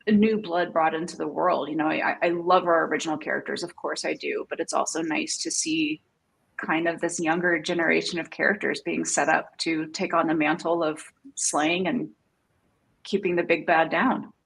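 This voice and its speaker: A woman's voice: sits low, normal speed, vocal fry, a bit bright, breathy edge, poor audio. A woman speaking casually as a panelist, sharing her opinions in a fan discussion.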